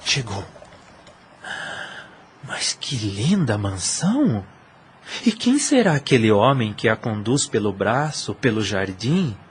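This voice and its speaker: An actor playing a jealous, wounded suitor in an audio drama, with formal, theatrical diction. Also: male voice, lowish voice, very animated, slow pace, pauses often, slight background noise. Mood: suspicious, bitter. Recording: music under the voice. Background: music.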